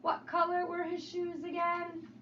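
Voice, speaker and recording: A woman reading a picture book aloud to children in an animated, sing-song way, with repeated refrains and questions. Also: very high voice, unhurried. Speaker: storybook reader. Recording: roomy, distant, dull sound with faint noise.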